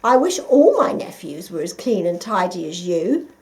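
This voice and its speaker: A woman's voice: lowish voice, very animated, normal speed, darkish tone. A woman voicing a fussy, houseproud uncle fox in a children's storybook, with exclamatory delivery. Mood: approving.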